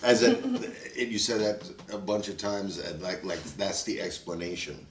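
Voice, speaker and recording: Low male guest, eager chimer, echoey and distant. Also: measured pace, a little hiss or hum. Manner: enthusiastic, supportive interjections. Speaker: cast member. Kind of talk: casual roundtable chat. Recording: roomy, off mic.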